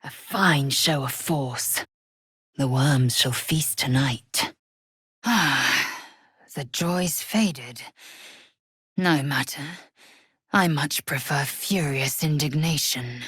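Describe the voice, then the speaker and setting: An actress voicing a fierce, haughty alien warrior woman in a video game, with a husky voice and an imperious, clipped, disdainful manner. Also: rumbling low, extremely slow, long pauses, fairly bright tone, no room sound, clean studio sound, heavily acted. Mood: scornful. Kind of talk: video game character voice lines.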